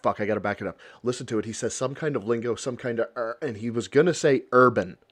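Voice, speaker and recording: A man's voice: mid-range voice, fast talker, a bit bright. A man hosting a livestream, reacting to a clip with profane jokes and interruptions. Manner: profane, joking, cutting in over the clip. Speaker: livestream host. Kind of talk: casual reaction commentary.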